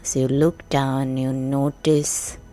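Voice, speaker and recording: A woman narrating a guided sleep meditation in a gentle, hushed, soothing manner with long pauses. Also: a bass voice, flat delivery, faint music behind. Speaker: meditation guide, podcast host.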